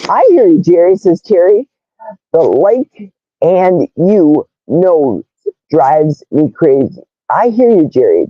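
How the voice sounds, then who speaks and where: A woman with a loud, raspy, brash voice hosting a casual livestream, reading chat comments aloud and greeting viewers. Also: fairly low pitch, dry recording, dull audio. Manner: animated, reads comments aloud, squeals greetings. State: hoarse, vocal fry.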